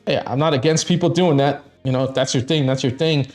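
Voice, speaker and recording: A man in his fifties talks loosely on a live stream, blunt and honest to a fault and sprinkling in curses. His voice sits at an ordinary middle pitch. Faint music plays quietly behind him. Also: quick pace. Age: 50s. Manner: blunt, frequent swearing and laughing. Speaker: stream co-host. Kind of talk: casual live-stream chat.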